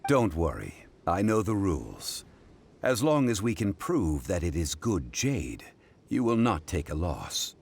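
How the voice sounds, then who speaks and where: A man voicing a composed, learned gentleman in a video game, formal and didactic in manner. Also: big pitch swings, creaky, a little hiss or hum, heavily acted. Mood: calm. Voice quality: deep, measured. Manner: formal, didactic, speaks in proverbs. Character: composed, learned gentleman, wise and fair-minded expert. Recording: music behind the voice. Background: background music.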